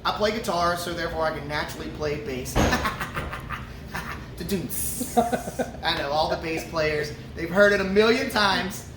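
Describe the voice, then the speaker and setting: A relaxed male musician chats through fan questions, joking and stumbling over fillers. He stands a little back from the mic, and his voice rings around a roomy brewery with a steady hum of noise behind him. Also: fairly high pitch, measured pace. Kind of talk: casual Q&A chat. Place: brewery before a show. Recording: roomy echo, from a distance, ambient noise.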